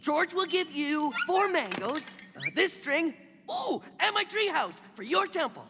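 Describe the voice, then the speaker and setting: Cartoon jungle wild man: dim, friendly, very high and swooping, slow delivery. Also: very animated, exaggerated voice. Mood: eager. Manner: naive, refers to himself in third person. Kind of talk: cartoon voice acting. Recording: loud music behind.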